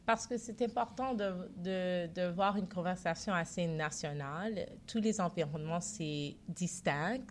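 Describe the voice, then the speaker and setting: A woman's voice: lowish voice, measured pace, hardly any pauses, a little hiss or hum. A woman from Montreal whose first language is not French, speaking as a founder guest in a radio interview, confident with occasional hesitations.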